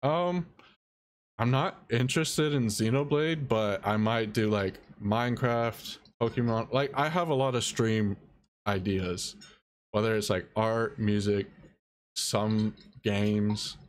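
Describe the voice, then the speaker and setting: A young man streaming, soft-spoken and halting, explaining audio editing and answering chat. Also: on the low side. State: dry mouth. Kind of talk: casual live-stream tutorial.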